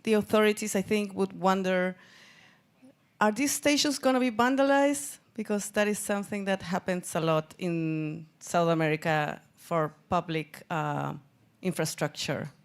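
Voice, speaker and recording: A woman's voice: medium pitch, unhurried, clear, resonant tone. A woman from Chile with a Chilean Spanish accent, asking an unscripted question from the audience.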